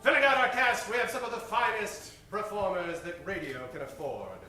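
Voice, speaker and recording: High-pitched theatrical man announcer in echoey hall. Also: expressive, normal speed. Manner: grandiose, dramatic announcer delivery. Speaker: host and narrator of a live radio comedy show. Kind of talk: theatrical old-time radio announcing and narration. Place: live stage performance, roomy. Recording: echoey stage from far off, music behind.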